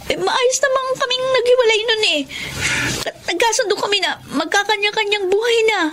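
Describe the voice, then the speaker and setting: A hesitant young woman, voiced by an actress in a radio drama with a high voice. She talks softly, with small stammers. A hiss of noise sits behind her. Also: fairly quick. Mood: pleading. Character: young woman Rhea, guarded, pleading.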